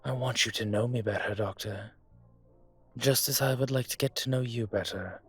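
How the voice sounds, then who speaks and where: A man acting a suave, older, gentlemanly dragon mafia boss in a romantic roleplay audio drama, courtly and teasing, with a husky voice. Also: mid-range voice, natural voice. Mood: smooth, inviting. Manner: unhurried, courtly, teasing, intimate. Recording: music behind the voice.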